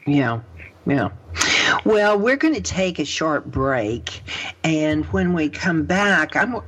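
A woman's voice: sits low, very animated, takes it slowly, husky. A woman hosting a conversational interview in an encouraging, agreeable manner. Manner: encouraging, often murmurs agreement. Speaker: radio show host. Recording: dull lo-fi radio audio.

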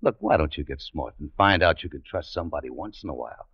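An actor playing a tough, wary gold-hunting American adventurer in a 1940s radio drama, with a hard-boiled, colloquial way of talking. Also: male voice, rumbling low, animated, quick pace, creaky, husky, no echo, heavily acted. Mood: exasperated. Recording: dull 1940s radio recording, music behind.

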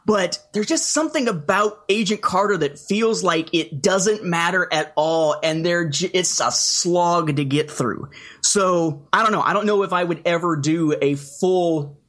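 A man hosting a podcast in casual chat, speaking in a measured, mildly wry way. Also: high voice, fairly bright tone. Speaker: podcast host.

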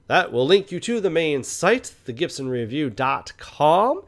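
A man's voice: fairly high pitch, sing-song, measured pace, a bit bright. A man hosting a film review podcast in casual conversation, often checking in with short questions. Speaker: podcast host.

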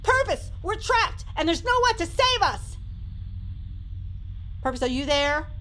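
A woman's voice: extremely high pitch, sing-song, measured pace, pushed and bright, a little hiss or hum, full character voice. A woman voicing a melodramatic actress in a sci-fi show within an audio drama. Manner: melodramatic, breathless.

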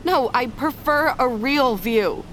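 An actress playing a guarded, weary but defiant survivor in a naturalistic audio drama, with terse, blunt delivery. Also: female voice, high voice, expressive, slow pace, noisy background. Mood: guarded, insistent.

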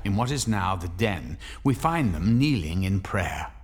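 A sardonic storyteller in an audio horror drama. His voice is fairly low, delivering scripted narration with wry asides. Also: big pitch swings, measured pace, breathy edge, soft background music, heavily acted.

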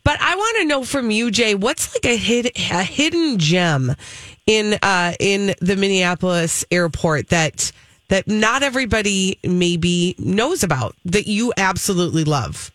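A woman with a mid-pitched voice co-hosting casual radio talk. She is gushing and conversational, recorded dry and close. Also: expressive, unhurried, fairly bright tone, no room sound. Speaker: radio show co-host.

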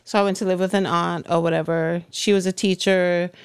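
A woman's voice: fairly low pitch, little pitch movement, a little fast, clear, resonant tone. A woman from Jamaica, talking frankly as an interview guest. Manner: blunt, frank storytelling. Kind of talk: candid interview talk.

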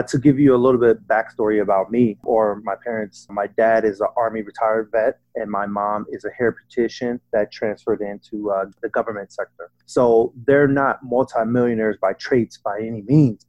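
A man speaking as a podcast panel guest, talking in a halting way with frequent fillers. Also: lowish voice, fairly even intonation, a little mellow, no room sound, lo-fi sound. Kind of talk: casual panel talk.

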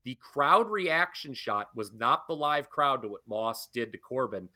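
A young adult man co-hosting a podcast, ranting with animated disbelief in casual chat. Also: medium pitch, unhurried. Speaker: podcast co-host. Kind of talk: casual wrestling review chat.

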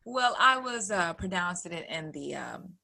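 Woman cohost, kinda low voice, casual podcast banter. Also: animated, normal speed, gravelly, sharp tone. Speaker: podcast co-host.

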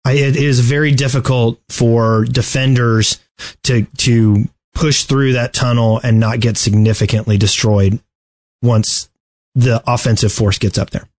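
A man hosting a podcast, casually discussing video game strategy in a halting, deliberate way. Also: medium pitch, flat delivery, darkish tone. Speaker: podcast host.

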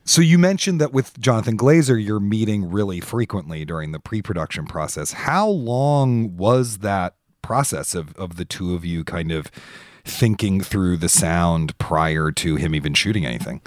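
A man's voice: low voice, animated, moderate pace, no echo, clean studio sound. A man hosting a podcast, putting long, considered questions to a guest in a conversational interview style.

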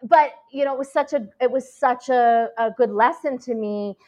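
A woman's voice: on the high side, moderate pace, a little mellow. A woman actress chatting as an interview guest, talking freely with many fillers and restarts. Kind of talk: casual interview chat.

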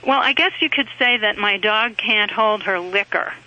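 Bright-voiced caller over the phone. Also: female voice, medium pitch, moderate pace, rough recording. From West Virginia. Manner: friendly, rambling, self-correcting. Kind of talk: phone-in question.

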